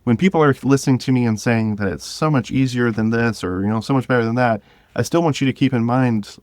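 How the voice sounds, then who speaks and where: A man's voice: medium pitch, fast talker, a little mellow, no echo, clean studio sound. A man speaking as a podcast guest, chatting casually and earnestly, rephrasing as he goes. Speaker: podcast guest, creator of a video podcast drama. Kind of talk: casual interview chat.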